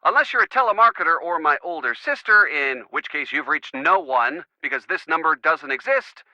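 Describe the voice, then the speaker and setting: An easygoing young American boyfriend in game phone dialogue. His voice is very bright and forward, with playful sarcasm. The sound is close and dry, though dulled like a lo-fi call. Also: fairly high pitch, lively intonation, normal speed, dry recording. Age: young adult.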